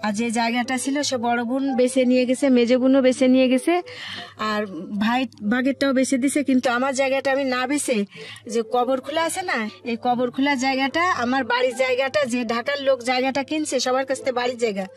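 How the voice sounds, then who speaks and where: A woman's voice: high voice, fairly even intonation, brisk. A middle-aged woman with a colloquial Bangladeshi accent, telling her own life story in a plain-spoken, conversational way.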